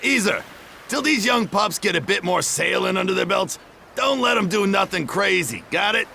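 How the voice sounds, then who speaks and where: Gravelly seasoned sea dog. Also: male voice, high voice, expressive, normal speed, bright, forceful tone, slight background noise, full character voice. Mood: gruff advising. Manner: folksy, clipped dialect speech. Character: gruff seasoned male Norman seafarer, plain-spoken elder. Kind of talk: video game voice acting.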